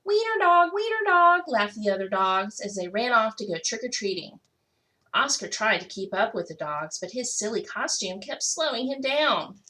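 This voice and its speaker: A woman's voice: medium pitch, expressive, normal speed, sharp tone, low-quality recording. A woman reading a children's picture book aloud expressively, stretching out key words for emphasis. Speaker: school technology coordinator reading to children.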